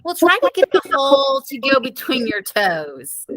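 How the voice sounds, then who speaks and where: A woman acts out a fretful mother of two boys from a children's storybook. She is easily embarrassed and coaxing, and her pitch moves up and down in an animated way. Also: moderate pace, heavily acted. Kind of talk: children's story read-aloud.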